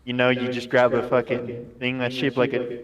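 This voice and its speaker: A man's voice: medium pitch, completely flat delivery, normal speed, highs cut off, a loud crowd. A man in casual gaming voice chat, joking crudely and laughing. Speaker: raid member on voice chat.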